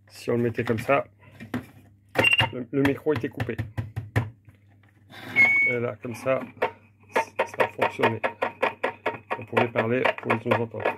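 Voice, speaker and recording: A man's voice: mid-range voice, gravelly, a little hiss or hum. A man informally presenting a hands-on technical demonstration, explaining plainly and a little hesitantly, without a script. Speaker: hobbyist presenter demonstrating old equipment.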